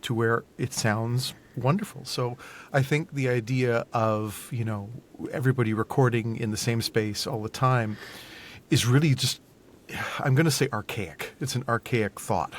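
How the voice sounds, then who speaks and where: A man chatting casually as an interview guest who produces indie audio dramas, explaining things in a halting, thoughtful way. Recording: faint background noise, low rumble.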